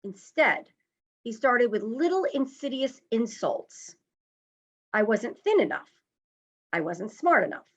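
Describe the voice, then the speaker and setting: A woman playing a wounded, reflective survivor of an abusive marriage, recounting deliberately and emphatically in a dramatic monologue. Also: fairly high pitch, sing-song, a bit bright, breathy edge, exaggerated voice. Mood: hurt, resolute. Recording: slightly dull, muffled sound.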